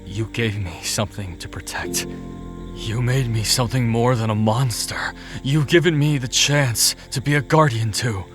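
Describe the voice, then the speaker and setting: A man playing a tormented, remorseful fighter in love in an audio drama, pleading in a halting, dramatic way. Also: mid-range voice, natural voice. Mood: anguished devotion. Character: Cain, tormented remorseful male fighter in love, self-loathing. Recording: music behind the voice.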